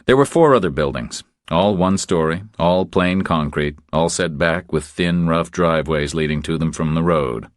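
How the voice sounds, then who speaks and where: A man's voice: rumbling low, moderate pace, few pauses, dry recording, studio quality. A man narrating a thriller audiobook from a script.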